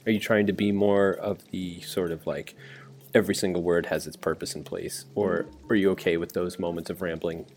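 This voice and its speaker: A man's voice: low-pitched, little pitch movement, a little fast, a little mellow, slight background noise. A man asking questions casually as the host of an interview podcast.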